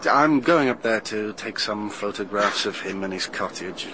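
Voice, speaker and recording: A cheerful young reporter. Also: male voice, moderate pace, rough recording. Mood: genial. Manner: chatty and genial, lively pitch. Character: cheerful young male journalist, easygoing. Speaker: radio drama character. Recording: radio play with faint hiss.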